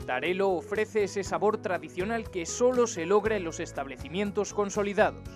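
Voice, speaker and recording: A Castilian Spanish woman narrates a scripted promotional TV report. Guitar music plays clearly behind her voice. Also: mid-range voice, normal speed.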